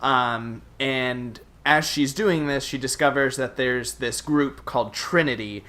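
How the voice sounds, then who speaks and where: A man's voice: medium pitch, measured pace, pushed and bright. A man co-hosting a casual gaming podcast, talking informally and peppering his explanations with filler words like "like". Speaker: podcast co-host.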